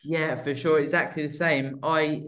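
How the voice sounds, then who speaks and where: A podcast host chatting casually with a guest, loose and relaxed, with the odd swear word. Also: mid-range voice, moderate pace. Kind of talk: casual conversational interview.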